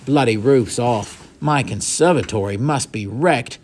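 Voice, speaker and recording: A man voicing a brisk, gruff middle-aged father. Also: medium pitch, lightly acted.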